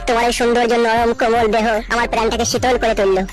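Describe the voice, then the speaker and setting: Woman playing a gushing, very fast lovesick suitor. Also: on the high side, flat delivery, faint music behind, lightly acted. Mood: gushing, pleading. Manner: gushing, melodramatic. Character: lovesick unhappily married village man, flattering suitor. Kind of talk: comic cartoon dialogue.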